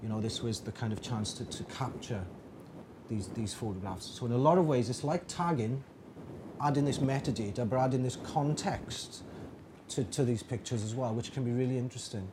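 Male workshop leader, informal and reflective, mid pitch, noisy take. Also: moderate pace. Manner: reflective, with many restarts and fillers. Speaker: digital storytelling workshop leader. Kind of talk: informal talk to an audience.

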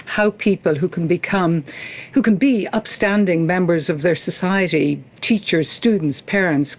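Older irish lady, academic type being interviewed, measured and articulate, kinda formal wording. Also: on the low side. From rural Ireland. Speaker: interview guest, academic and former university leader. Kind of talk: reflective interview answers.

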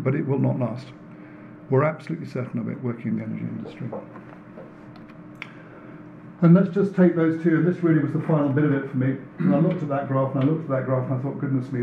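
A man giving a public lecture in an earnest, deliberate way, repeating phrases for emphasis. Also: mid-range voice, gravelly, highs cut off, slight background noise. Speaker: speaker giving a talk, works in renewable energy. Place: indoor room in front of an audience.

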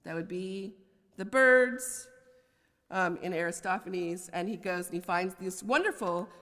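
The long reverb of a lecture hall surrounds an American woman's slightly low voice. She lectures like a professor of poetry, in a scholarly way that makes room for informal, conversational asides. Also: unhurried, fairly bright tone. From United States. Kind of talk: academic lecture. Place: large indoor lecture hall.

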